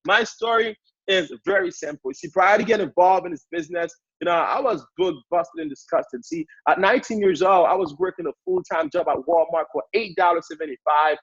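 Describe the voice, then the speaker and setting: A man in his twenties with a Haitian accent, giving an energetic motivational talk as a guest speaker on a sales training call. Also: high-pitched, a little fast, husky, no room sound, dull audio. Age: 20s. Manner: hyped up, energetic, repetitive.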